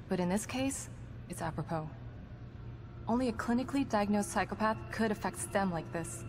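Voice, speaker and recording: A composed female psychologist from a video game cutscene, played by an actress. She is guarded and clinical, choosing precise, formal words at a middling pitch. Music plays clearly behind her. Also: lightly acted. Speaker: psychologist character in a horror game. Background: background music.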